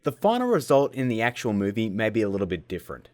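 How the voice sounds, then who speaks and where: A man hosting a casual software tutorial in a cheeky, self-mocking way, with jokey asides. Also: lowish voice, huge pitch swings, fast talker. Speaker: host of a video-editing tutorial show. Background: music.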